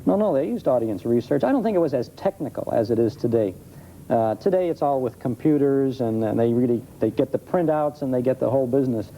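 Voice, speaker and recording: Very mellow American man explaining. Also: mid-range voice, expressive, a little fast. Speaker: guest expert on old-time radio. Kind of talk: casual explanatory interview chat. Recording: muffled 1970s TV recording, faint noise.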